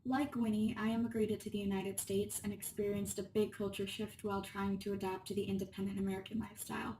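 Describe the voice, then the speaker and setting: A woman's voice: medium pitch, level tone, fast, mellow. A woman from Spain reading a scripted personal narration as a student presenter. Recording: roomy, distant mic, faint room noise.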